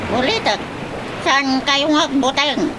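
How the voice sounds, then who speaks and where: A woman playing a solemn guardian whale in a radio drama, speaking in a drawn-out, admonishing way with an Ilocano accent. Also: high voice, background noise. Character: talking female whale, solemn guardian of the sea. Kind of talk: radio comedy-drama acting.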